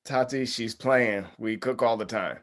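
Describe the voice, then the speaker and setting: A man's voice: mid-range voice, measured pace, natural voice. A man playing an uneasy, wry young groom in a comedy table read, hesitant and understated. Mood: uneasy, polite.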